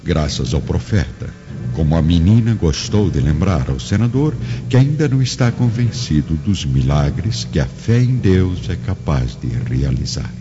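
A man's voice: sits low, sing-song, unhurried. A man with a Brazilian accent giving dramatic scripted narration for a radio drama. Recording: dull lo-fi, long reverb. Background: music.

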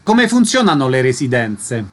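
A man's voice: mid-range voice, big pitch swings, normal speed, slight background noise. A man hosting a radio interview, putting concise questions and reading out announcements.

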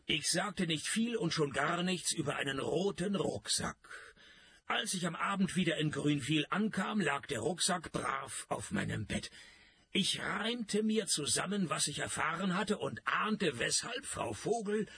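A man's voice: on the high side, expressive, unhurried, gravelly, sharp tone, husky, heavily acted. An actor playing a sardonic private detective who narrates his case in a dry, laconic radio play style. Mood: dry narration. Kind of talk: deadpan noir radio play narration and dialogue.

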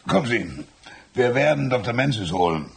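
An actor playing an old small-town constable in a radio drama, folksy and paternal, with a gravelly, husky voice. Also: male voice, mid-range voice, sing-song, moderate pace, very noisy. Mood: shrewd, probing. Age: older. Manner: drawling, paternal, calls others "my son". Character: old small-town constable, folksy, slow-witted seeming but shrewd.

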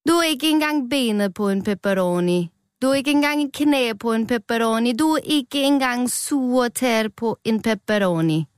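A woman's voice: fairly high pitch, few pauses, a little mellow, clean tone, no echo, studio quality, pitched above the performer's own voice, natural voice. A woman voicing bossy, jeering food characters in a children's story, theatrically scornful. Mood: mocking. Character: bossy, jeering Italian pepperoni and tomato toppings.

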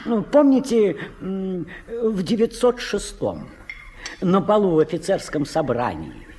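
An actor playing a jolly, courtly older gentleman in a radio play, theatrical and dropping French phrases. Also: high-pitched, big pitch swings, unhurried, a little hiss or hum. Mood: gallant, gossipy. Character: jolly gallant older gentleman, old-world charmer.

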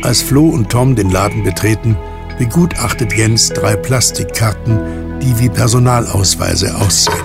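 A man's voice: lowish voice, normal speed, vocal fry. A man reading scripted narration as the narrator of an audio drama. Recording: loud music bed behind narration.

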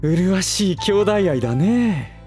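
A man playing a suave, middle-aged gentleman who teases with smug, playful condescension in a voice drama. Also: sits high, expressive, slow, faint music behind. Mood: mocking. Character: suave teasing older man, playfully villainous. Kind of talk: voice drama acting.

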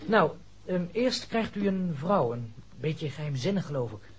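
An actor playing a chatty, deferential manservant in a 1960s radio drama. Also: male voice, a little high, sing-song, measured pace, breathy edge, exaggerated voice. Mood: chatty, eager. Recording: muffled, noisy old radio recording.

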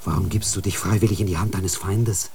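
Brief: a worried brother monk, dark mellow tone, pleading, radio play. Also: male voice, low-pitched, steady tone, brisk, creaky, a little hiss or hum. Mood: alarmed. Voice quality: urgent, pleading. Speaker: voice actor. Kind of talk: radio drama acting.

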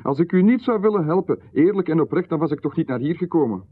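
A man's voice: a little high, animated, fast, breathy edge. An actor playing a pushy, overly familiar male stranger in a radio drama, insistent and ingratiating, with stammered restarts and little chuckles. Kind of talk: 1990s radio drama acting. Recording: lo-fi radio broadcast, phone-like band.

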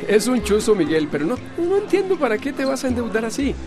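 An actor playing a blunt, teasing friend in a radio drama, with a Costa Rican accent. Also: male voice, extremely high pitch, wildly swooping pitch, fast, music in the background, exaggerated voice. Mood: blunt, disapproving. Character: blunt, teasing adult male buddy.

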